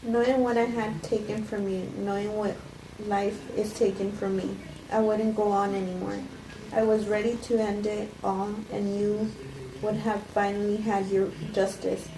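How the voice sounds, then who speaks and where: A woman reading the part of a subdued, guarded wife in a flat, unpolished table read of a film script. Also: mid-range voice, normal speed, lightly acted. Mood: somber, resolute. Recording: roomy, distant mic, clear background noise.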